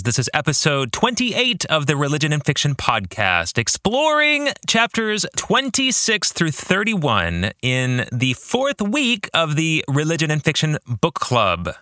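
A man hosting a podcast, reading scripted narration and book-club reflections with emphatic, deliberate phrasing. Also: on the high side, bright, forceful tone, no echo, clean studio sound. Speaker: podcast host and author.

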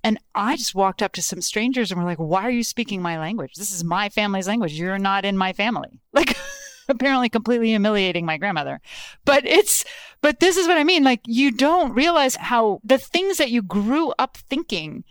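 An animated woman author tells stories in a relaxed interview, laughing often and jumping into quoted voices. Her tone is smooth and clean, recorded close and dry with no room sound. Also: mid-range voice, brisk, no room sound. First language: Lithuanian. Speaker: guest author. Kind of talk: casual interview conversation.

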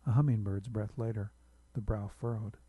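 A man's voice: fairly low pitch, steady tone, normal speed, husky, no room sound, narrow, tinny audio. A man reading a literary short story aloud as a narrator, close to the microphone.

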